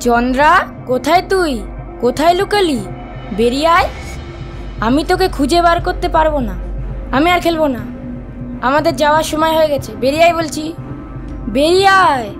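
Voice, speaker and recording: Woman as an eager, high-voiced young boy. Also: fairly quick, long pauses, soft background music, close to an everyday voice. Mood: impatient calling. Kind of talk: Bengali cartoon drama acting.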